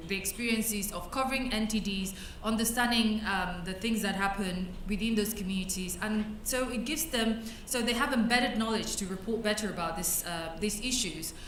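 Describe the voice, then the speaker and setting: A young woman from Cameroon talks a conference audience through her slides, her voice at an ordinary middle pitch. She speaks conversationally, pausing on fillers and restarting phrases as she goes. The sound is roomy and a little distant, with faint hall ambience behind her. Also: fairly bright tone, a little hiss or hum. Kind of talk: conference talk presenting slides. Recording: echoey hall, mic at a distance.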